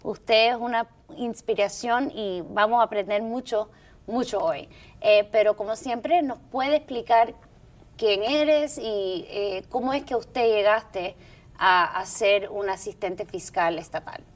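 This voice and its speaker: A woman's voice: mid-range voice, takes it slowly. A woman hosting a Sunday television interview show, courteous and admiring toward her guest. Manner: courteous, admiring, formal introductions. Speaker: host.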